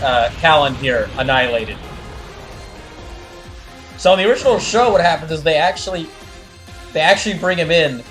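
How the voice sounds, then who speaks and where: A man giving casual livestream commentary on a video game, rambling enthusiastically as he explains lore. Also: on the high side. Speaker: streamer doing live fan dubs of a video game. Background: music.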